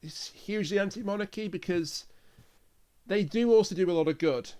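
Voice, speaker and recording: Male reviewer, clean crisp tone, a little high, casual chat. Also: normal speed, a little mellow. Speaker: podcast reviewer.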